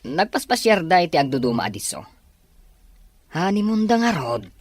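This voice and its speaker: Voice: mid-range voice, big pitch swings, normal speed, dry recording. An actor playing a deferential henchman to a scheming boss in a scripted audio drama. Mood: evasive.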